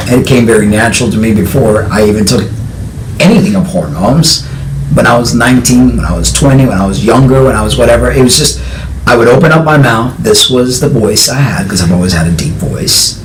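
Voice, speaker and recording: A man with a lowish voice talks frankly and with emphasis in a personal conversation. The room echoes around him, and his delivery stays direct and sure. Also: noisy background. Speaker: co-host.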